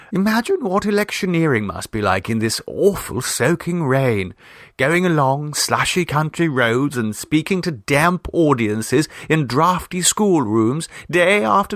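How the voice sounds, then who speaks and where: A man voicing a brisk, well-to-do Edwardian wife who is bossy and fussily considerate, in an emphatic posh manner, for a short story reading. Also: on the high side, fairly bright tone, no room sound, studio quality, voice pushed up, exaggerated voice.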